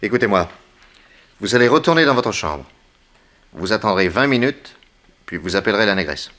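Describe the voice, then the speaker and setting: An actor playing a bold, wry detective with a brisk commanding manner in a 1960s French radio serial. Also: male voice, fairly low pitch, animated, fairly bright tone.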